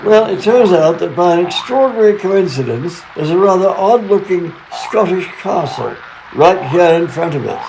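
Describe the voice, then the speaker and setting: A man playing a mild-mannered, wordy and deadpan travelling companion in a comedy radio play. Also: sits high, normal speed, vocal fry, few pauses, background noise.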